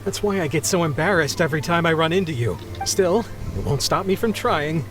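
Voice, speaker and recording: Video game sea captain , male actor: flustered, embarrassed, humble, lively swooping delivery, music underneath. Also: fairly high pitch, brisk, close to an everyday voice. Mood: grateful, apologetic. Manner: flustered, hesitant, apologetic. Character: embarrassed, grateful middle-aged married sea captain. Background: background music.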